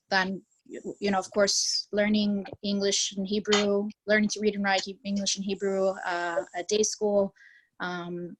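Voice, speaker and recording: A woman's voice: medium pitch, rough recording. An American woman chatting on a video call, talking hesitantly with many ums and restarts. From United States. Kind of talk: casual conversational reminiscing.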